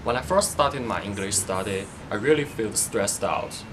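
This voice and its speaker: A man English language student reciting a prepared statement haltingly, with restarts. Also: fairly low pitch. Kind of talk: scripted personal statement. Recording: loud background noise.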